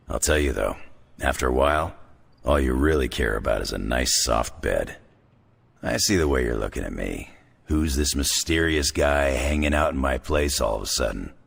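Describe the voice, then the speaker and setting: A rugged middle-aged brawler in a game cutscene, speaking in an extremely low rumble full of gravel. He is gruff and sardonic, tossing off folksy, laconic remarks like a veteran of many fights. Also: exaggerated voice. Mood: relaxed, wry. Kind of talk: video game cutscene dialogue.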